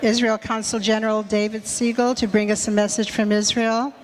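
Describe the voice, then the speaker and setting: A woman's voice: on the high side, flat delivery, a little fast. A woman serving as emcee who reads a formal introduction of the next speaker and stumbles over a few words. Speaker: event emcee. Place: large banquet hall. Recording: reverberant banquet hall.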